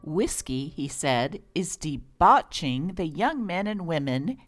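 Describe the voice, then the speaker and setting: A woman's voice: low-pitched, sing-song, slow, soft background music. A woman hosting and reading old newspaper articles aloud in a scripted narration style.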